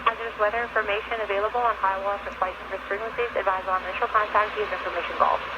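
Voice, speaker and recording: Over a dull, noisy aviation radio, a man reads airport information as an announcer. He is rapid and flat, clipping through each procedural phrase without inflection. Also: sits high. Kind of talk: clipped aviation weather and runway broadcast. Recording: muffled, very noisy airband radio reception.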